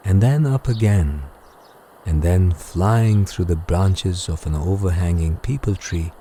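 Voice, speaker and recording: A man gently and calmly narrating a scripted bedtime story for guided sleep meditation, soothing and unhurried. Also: low voice, a little hiss or hum. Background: music.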